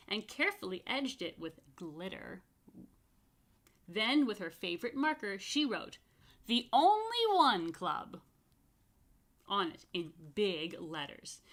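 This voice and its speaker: A woman rabbi reading a picture book aloud to children, expressively stretching key words and adding chatty asides. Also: medium pitch. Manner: expressive, dramatic emphasis and drawn-out words. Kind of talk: animated storytime read-aloud with chatty asides.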